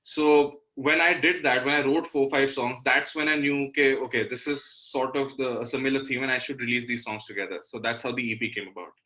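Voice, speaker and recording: Young indian guy musician chatting in an interview, bright clear-cut tone, thoughtful, says you know a lot. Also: mid-range voice, fairly even intonation, a little fast, hardly any pauses, rough recording. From Delhi, India. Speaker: singer-songwriter guest. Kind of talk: casual interview talk.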